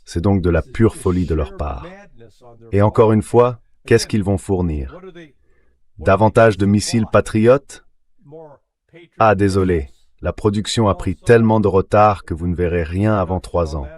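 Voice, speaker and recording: Male commentator, notably low voice, translated synthetic read of conversational political analysis. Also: unhurried, darkish tone. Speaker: guest commentator.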